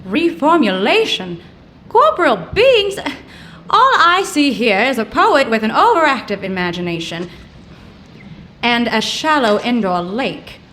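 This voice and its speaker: Wisecracking small-town woman, acted, high, bright voice, blunt and sceptical, old-style comic audio drama, ambient noise behind. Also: very animated, measured pace. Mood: sarcastic. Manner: sassy, blunt, folksy.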